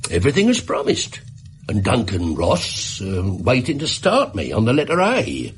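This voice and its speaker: A man's voice: lowish voice, huge pitch swings, normal speed, soft background music. An actor playing a talkative, gullible, flustered tradesman in a radio drama, rambling and hesitant as he tells his story. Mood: earnest recounting.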